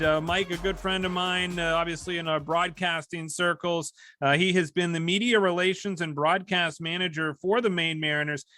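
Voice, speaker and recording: Guy doing podcast host chat, bright voice on the higher side, really dry sound. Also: dry recording. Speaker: podcast host and hockey broadcaster. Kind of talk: podcast interview, conversational.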